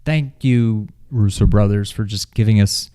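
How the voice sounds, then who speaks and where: A man with a slightly low voice, talking off the cuff as a review co-host. The delivery is informal and conversational, as between friends. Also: measured pace, mellow. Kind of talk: casual chat.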